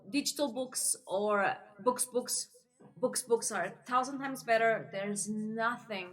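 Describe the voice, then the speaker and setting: Young woman from portugal, fairly high voice, rambly chatty vlog talk full of ums. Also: a bit bright. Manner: chatty, many fillers, laughs often. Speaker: book vlogger. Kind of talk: casual chat to camera.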